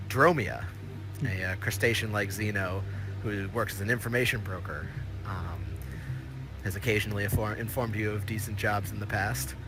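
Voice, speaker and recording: Low-voiced man running a tabletop game. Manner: casual and meandering, with many restarts. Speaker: game master.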